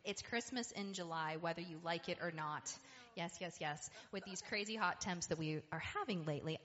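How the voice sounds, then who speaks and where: A woman hosting a program, giving a playful, teasing introduction to a song. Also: fairly low pitch. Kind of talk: upbeat introduction. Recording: dull sound with long hall reverb.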